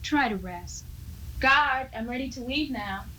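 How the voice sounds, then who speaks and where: Soothing prison visitor, higher voice. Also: female voice, lively intonation, measured pace, pushed and bright, exaggerated voice, poor audio. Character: gentle, soothing woman visiting a troubled prisoner. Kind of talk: 1970s radio drama acting. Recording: 1970s radio recording, faint noise.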